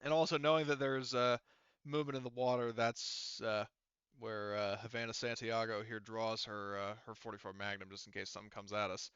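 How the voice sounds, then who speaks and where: A man narrates a tabletop game as game master in a bright, smooth voice. He explains casually and haltingly, circling back with asides. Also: fairly low pitch, little pitch movement, dry recording, highs cut off.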